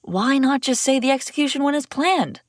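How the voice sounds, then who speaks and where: A woman's voice: high-pitched, normal speed, no echo, clean studio sound, lightly acted. A woman playing a commanding, wry escaped princess in an audio roleplay, speaking dramatically and expressively straight to the listener. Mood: puzzled, probing. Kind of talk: immersive audio roleplay acting, one side of a dialogue.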